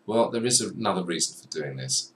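A young man giving a chatty, self-deprecating software tutorial at his desk, talking to the viewer as he clicks through menus. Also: low-pitched, lively intonation, brisk. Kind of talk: screen-recorded software tutorial. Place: small room at a desk. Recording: slightly distant mic with faint room noise.

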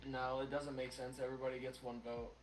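A man's voice: medium pitch, level tone, brisk, crowd noise. A man from Ohio on a voice call, chatting casually and arguing his point at length, swearing as he goes. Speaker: friend on call. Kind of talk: casual chat. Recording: echoey remote audio with faint hiss.

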